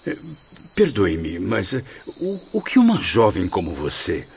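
Anguished, low-voiced learned male spirit. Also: huge pitch swings, unhurried, gravelly, a little mellow, heavily acted. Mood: brooding. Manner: formal, wounded, brooding. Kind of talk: Portuguese-language radio drama acting. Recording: muffled lo-fi audio, night insects, noise.

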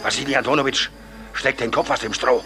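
Brief: man playing common superstitious peasant drinker, mid voice, loud music behind, vintage radio drama. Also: medium pitch, steady tone, brisk, natural voice. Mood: grumbling. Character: coarse, superstitious, vodka-loving peasant. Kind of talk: 1950s German radio play acting. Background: loud music and effects.

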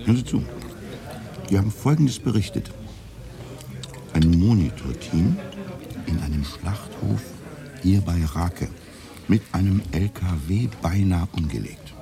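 Deep-voiced, wry local man. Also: lively intonation, a little slow, creaky, pauses often, crowd noise, heavily acted. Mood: confiding. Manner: dry, casual muttering with sudden emphatic protests. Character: gruff, wry local man, minder to a radio reporter. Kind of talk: naturalistic radio drama acting.

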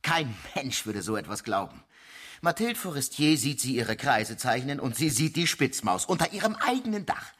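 A man playing a gruff, sceptical police investigator in a radio crime play, with a husky voice and a dry, blunt manner. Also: medium pitch, big pitch swings, normal speed, a bit bright. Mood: probing, sardonic. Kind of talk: naturalistic radio drama dialogue.

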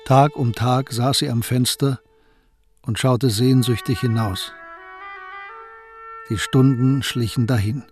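A man narrating a radio play in a measured, storytelling way. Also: medium pitch, steady tone, darkish tone. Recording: faint music under the voice.

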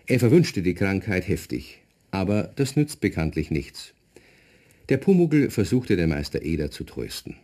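A man with a Bavarian accent narrating a children's radio play, expressive and speaking directly to young listeners. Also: deep voice, moderate pace.

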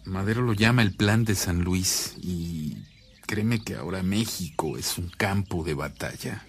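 An actor with a Mexican accent playing an earnest, reflective priest in a radio drama, speaking in a measured, solemn way. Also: male voice, sits low, slightly rough, exaggerated voice. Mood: grave. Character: earnest, reflective Mexican priest, calming his worried sister. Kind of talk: Spanish-language radio drama acting.

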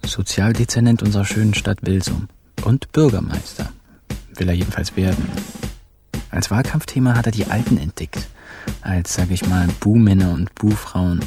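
Laid-back young care-home helper, low-pitched, wry casual narration, radio crime play, music underneath. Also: male voice, moderate pace, vocal fry. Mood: wry, matter-of-fact. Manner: casual, offhand, hesitant. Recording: music behind the voice.

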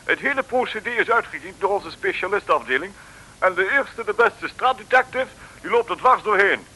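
An irritable male colonel in a vintage radio comedy-drama. He blusters and scolds in a high, piercing voice that swoops around with exasperation. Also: expressive, fairly quick, sharp tone, exaggerated voice, rough recording. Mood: flustered. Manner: gruff, exclamatory, scolding. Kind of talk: old radio serial acting.

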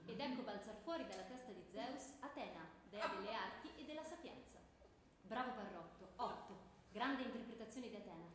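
A woman's voice: mid-range voice, expressive, a little fast, close to an everyday voice. Echo-drenched stern woman acting a female theatre teacher. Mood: solemn, judging. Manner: schoolmistress-like, declarative, handing out marks. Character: stern theatre teacher grading her pupils while narrating myth. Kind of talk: stage comedy adapted for radio. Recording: very echoey room, clear background noise.

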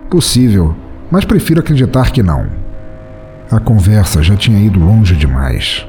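Low mellow dude reading a creepy story for his podcast, really theatrical, faint music behind. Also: normal speed. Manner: theatrical, expressive delivery. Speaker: podcast host and narrator. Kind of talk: dramatic first-person story narration.

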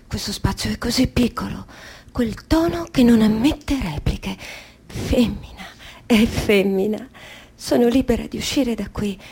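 An actress playing an anxious, confined woman in a radio drama, speaking in hesitant fragments with a breathy, husky voice. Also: a little high, a little slow, background noise. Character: anxious confined woman facing a frightening decision. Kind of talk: radio drama inner monologue.

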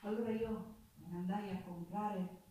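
An actress playing a passionate Sicilian folk singer recalling her life in an earthy, expressive theatrical monologue. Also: medium pitch, normal speed, close to an everyday voice. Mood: earnest, reminiscing. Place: theatre stage with live guitar. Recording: echoey theatre stage recorded from far off.

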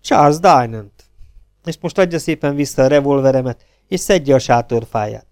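A man voicing a sharp-tongued young woman secretary, scornful and mocking, in a crime story reading. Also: mid-range voice, expressive, no room sound, clean studio sound, close to an everyday voice.

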